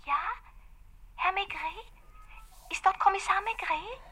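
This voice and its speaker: An actress in a radio play playing a secretive young anonymous caller, furtive and often dropping toward a whisper. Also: female voice, very high voice, unhurried, bright, forceful tone, slight background noise, exaggerated voice. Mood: tentative, secretive.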